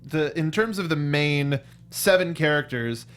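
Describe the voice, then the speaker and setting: A man's voice: fairly high pitch, animated, measured pace, fairly bright tone, smooth. A man co-hosting a casual film review chat, acting out reactions in quoted asides. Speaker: co-host of a film review show.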